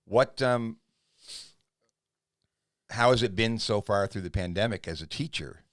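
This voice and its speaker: Low-voiced podcast host, dry sound. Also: male voice, a little slow, no room sound. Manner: conversational, asks questions. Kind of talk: casual interview chat.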